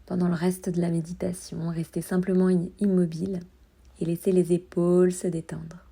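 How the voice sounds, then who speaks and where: Female meditation guide: calm, soothing, lowish mellow voice, soft delivery with unhurried pauses. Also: vocal fry, husky. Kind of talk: guided meditation, body scan.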